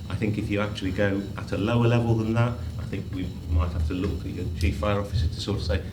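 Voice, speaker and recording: Fast, deep, flat-voiced man advising a committee. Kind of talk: formal committee meeting speech. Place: council meeting room. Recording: roomy chamber with loud background noise.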